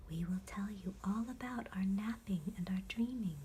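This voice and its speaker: A woman voicing contented, routine-bound butterflies in a measured whisper for an ASMR bedtime storybook. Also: mid-range voice, warm tone, a little hiss or hum, natural voice. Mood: earnest, friendly. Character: contented, set-in-their-ways butterflies, gentle and routine-bound.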